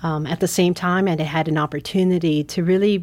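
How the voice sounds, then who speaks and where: A woman from San Francisco, a foundation CEO, giving measured, thoughtful answers in an interview. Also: fairly low pitch, fairly even intonation, a little mellow, dry recording. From San Francisco, United States.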